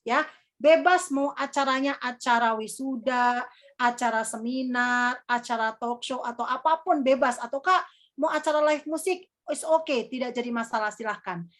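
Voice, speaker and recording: Young woman, high-pitched, easygoing trainer with a rambling, filler-heavy delivery. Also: moderate pace, a bit bright. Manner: chatty, calls herself Kakak, many fillers. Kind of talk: casual workshop teaching.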